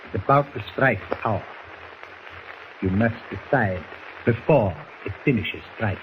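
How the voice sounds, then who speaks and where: An actor playing a menacing foreign agent with a formal, deliberate, threatening manner in 1940s radio style. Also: male voice, on the low side, lightly acted. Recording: muffled, noisy 1940s radio recording.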